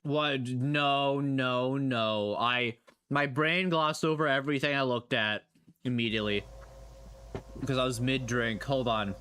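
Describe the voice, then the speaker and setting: A man's voice: medium pitch, fairly even intonation, unhurried, pushed and bright, slight background noise. A man streaming casual reaction commentary, rambling and playful, often questioning what he watches. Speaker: streamer reacting to horror videos.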